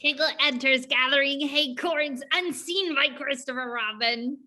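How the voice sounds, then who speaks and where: A woman's voice: sits high, unhurried, bright, forceful tone. A woman reading stage directions aloud as scripted narration for a dramatized play reading.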